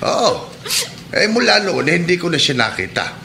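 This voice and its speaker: A creaky, excitable old Filipino baker. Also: male voice, sits high, heavily acted. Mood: grave, gossiping. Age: older. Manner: halting, repeating himself, exclaiming. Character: excitable elderly male neighbourhood baker full of old news. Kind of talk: Filipino radio drama acting.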